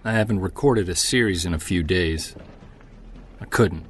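A man's voice: sits low, moderate pace, a little hiss or hum, natural voice. An actor playing a weary, stressed male investigator confiding in an audio log, hesitant and downbeat, as in an audio drama. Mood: weary, troubled. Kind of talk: audio drama, confessional narration.

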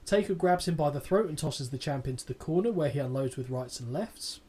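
A man in his thirties co-hosts a casual podcast, reading out a match recap and breaking off for sarcastic asides. His voice sits a little high for a man. He swears freely and keeps a deadpan tone. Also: brisk, husky. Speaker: podcast co-host.

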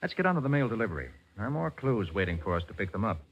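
An actor playing a brisk, confident, businesslike detective in a 1940s radio drama. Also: male voice, on the low side, big pitch swings, breathy edge, full character voice. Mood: brisk, decisive. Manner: brisk, authoritative. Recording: noisy, dull 1940s radio recording.

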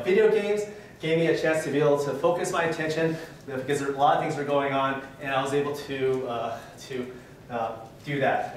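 A man's voice: on the high side, heavy reverb, a little hiss or hum. A young man from Taiwan giving a joking, self-deprecating conference talk with lots of fillers, recorded from far back in a meeting room. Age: young adult. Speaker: conference presenter. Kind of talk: humorous presentation talk. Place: conference room with projector screen. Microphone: far camera.

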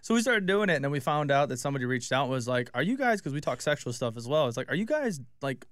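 A man's voice: medium pitch, very animated, fast talker, a bit bright, no room sound. A man in his twenties co-hosting a podcast with hyped banter in a small studio. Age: 20s. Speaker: podcast co-host. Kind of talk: casual podcast chat.

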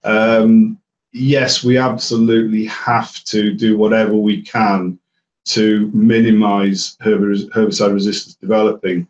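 Man, low voice, measured webinar expert, echoey distant dull audio. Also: level tone. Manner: measured, explanatory, with fillers and repeats. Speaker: herbicide technical specialist. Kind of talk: webinar expert answering questions.

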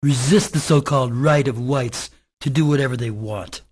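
A defiant resistance fighter, played by a man in a radio drama. The voice is middle-pitched and husky. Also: moderate pace, darkish tone, lightly acted.

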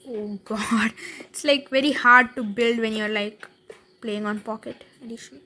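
A woman casually narrating a building tutorial over gameplay, chatty and thinking aloud, with fillers and quick laughs. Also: a little high, fairly even intonation, bright, forceful tone. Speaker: Minecraft building tutorial creator.

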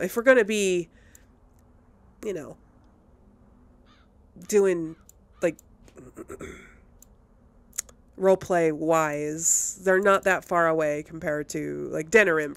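A woman's voice: on the low side, smooth, no room sound. A woman giving casual let's play commentary, rambling and thinking aloud as she goes. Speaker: gamer, channel host.

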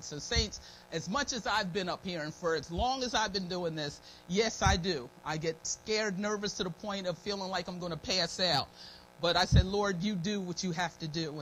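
A woman's voice: lowish voice, a bit bright. A middle-aged American woman giving an informal, folksy, devout sermon as a church elder, her throat slightly scratchy and dry. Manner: folksy, devout, repeating phrases of thanks. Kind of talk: informal sermon with personal testimony and prayer. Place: church meeting room, at a pulpit. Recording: noisy live church stream recording.

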